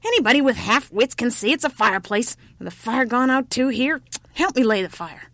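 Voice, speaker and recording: Storybook old crone, played by a female narrator, loud, cranky and folksy, hard of hearing, dry close sound. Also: fairly high pitch, lively intonation, brisk, dry recording, pitched above the performer's own voice. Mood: grumpy, scolding. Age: older. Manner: shouting, scolding, folksy. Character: grumpy, exasperated, slightly deaf old peasant woman.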